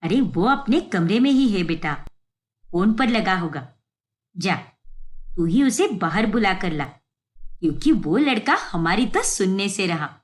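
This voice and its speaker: A woman voicing a doting, teasing middle-aged mother with a fond, homely manner in an animated story. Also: mid-range voice, unhurried, long pauses, voice pushed down. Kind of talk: animated moral story acting.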